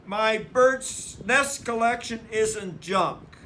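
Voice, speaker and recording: A man as a loud, high boy bear cub. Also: extremely slow, a little hiss or hum, heavily acted. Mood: indignant. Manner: loud, emphatic.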